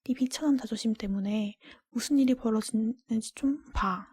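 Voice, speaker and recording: A woman voicing a jealous, scornful older sister from a storybook, accusing in a breathy whisper. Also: fairly high pitch, moderate pace, darkish tone, no echo, studio quality. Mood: accusing, bitter.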